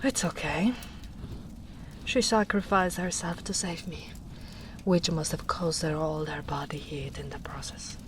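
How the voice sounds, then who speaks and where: Gentle giant ogress, female performer, slow and slightly low, soft whispery ASMR delivery, protective, tender. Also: slight background noise. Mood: somber. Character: gentle big female ogre, wistful and protective. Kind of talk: soft-spoken ASMR roleplay.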